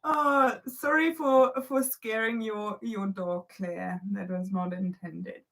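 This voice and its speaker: A young woman co-hosting a casual live chat, with a squeaky voice and a playful, giggly manner. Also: fairly even intonation, slow pace, fairly bright tone. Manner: playful, giggly, sing-song. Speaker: co-host.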